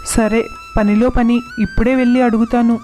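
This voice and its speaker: A woman's voice: on the high side, flat delivery, normal speed, mellow, soft background music, lightly acted. A woman voicing a dismissive, evasive adult son in a storybook tale, matter-of-fact and unfeeling. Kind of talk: storybook dialogue.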